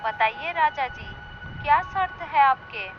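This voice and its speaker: A sly, conceited vixen acted by a woman from an animated fable, theatrical in delivery. The voice is high-pitched. It comes through a muffled recording with clear noise behind it. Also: a little slow. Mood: smug. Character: cunning, conceited female fox, mocking and self-justifying. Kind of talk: animated moral story dialogue. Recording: dull lo-fi sound with clear noise.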